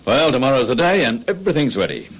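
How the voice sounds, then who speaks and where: An actor playing a cocky, bossy ringleader of small-time crooks in a radio crime drama, with an Australian accent and brisk, slangy speech. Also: male voice, medium pitch, sing-song, breathy edge, rough recording. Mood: confident, pushy.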